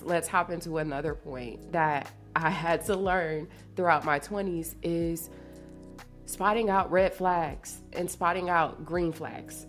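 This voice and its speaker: A woman's voice: lowish voice, a bit bright. A woman in her late twenties with a congested sound, chatting casually and confidingly as a solo podcast host in slangy, informal language. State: congested from allergies. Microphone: podcast microphone at a desk.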